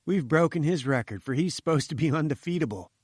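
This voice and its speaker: A man's voice: fairly high pitch, animated, fast talker, darkish tone, husky, no echo, studio quality. A man voicing a breezy, jovial young male journalist.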